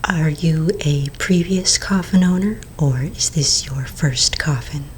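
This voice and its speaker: A woman voicing a courteous vampire real estate agent in a soft-spoken, mostly whispered ASMR roleplay, polite, unhurried and attentive. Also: low-pitched, slight background noise.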